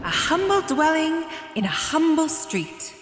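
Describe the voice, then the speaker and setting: A woman playing a jolly, grand giant spirit with a booming theatrical delivery in a radio drama. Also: big pitch swings, unhurried. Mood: solemn. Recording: echoey voice with long reverb.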